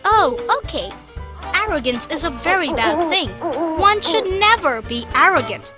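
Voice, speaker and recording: A woman voicing a patient cartoon mother who tells children fables in an expressive, instructive way. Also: very high voice, a little slow, loud music behind the voice, full character voice. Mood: patient, explaining. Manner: expressive, didactic. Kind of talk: children's storytelling.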